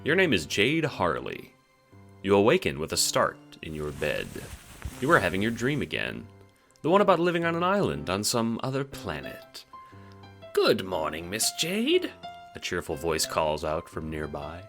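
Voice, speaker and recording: A man's voice: deep voice, wildly swooping pitch, normal speed, fairly bright tone, soft background music. A man narrating an audio drama as scripted, dramatic second-person storytelling.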